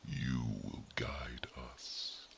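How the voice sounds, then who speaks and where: An ancient, wise guardian, as a man whispers him speaks in an extremely deep, gravelly hush. His counsel comes slow and measured, with a bright edge to the breath. The ASMR roleplay stays grave and unhurried throughout. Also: monotone, heavily acted. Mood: solemn counsel.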